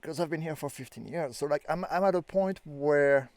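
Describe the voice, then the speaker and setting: A man's voice: fairly high pitch, normal speed, a little mellow, no room sound. A man in his thirties from France with a French accent, chatting casually as host, rambling with lots of fillers and the odd swear. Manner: rambling, filler-heavy, swears when surprised. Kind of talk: casual chat.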